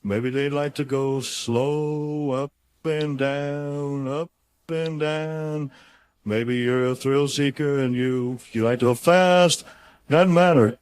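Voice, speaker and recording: A man's voice: medium pitch, steady tone, slow pace, darkish tone, clear, resonant tone, no room sound, studio quality. A man reading his own motivational audiobook chapter in an informal, folksy and emphatic conversational way.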